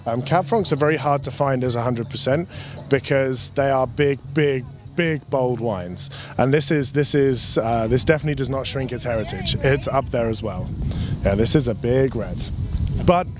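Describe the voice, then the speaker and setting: A young man at an outdoor table at a winery, explaining wine in a relaxed, chatty way with many restarts, into a handheld mic. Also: medium pitch, normal speed. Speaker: winery representative. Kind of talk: casual explanatory talk. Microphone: handheld mic held by the interviewer.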